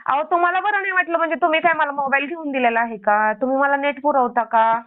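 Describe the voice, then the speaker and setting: A woman's voice: sits high, fast. A woman arguing on a phone call, confrontational and firing one indignant rhetorical question after another. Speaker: prank-call video creator. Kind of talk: argumentative phone conversation. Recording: narrow tinny phone-line sound.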